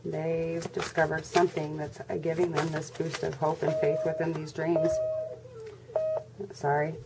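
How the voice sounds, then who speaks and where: A congested woman giving a casual tarot reading to her viewers in a flat, monotone way. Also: a little slow. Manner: monotone, low-energy delivery. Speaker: tarot reader. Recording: noisy recording with birds chirping. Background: birds and background noise.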